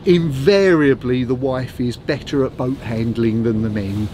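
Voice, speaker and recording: Calm man instructing on a boat. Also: medium pitch, measured pace, a little hiss or hum. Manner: calm, direct, practical. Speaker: sailing instructor. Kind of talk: instructing on deck. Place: outdoors on a boat in a marina.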